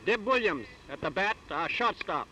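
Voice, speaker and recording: An American man speaking as a baseball coach in a halting, plain-spoken, informal way on 1930s newsreel sound. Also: high-pitched, sing-song, sharp tone, slight background noise, poor audio. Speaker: baseball club figure, coach. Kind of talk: informal pep talk and demonstration for camera.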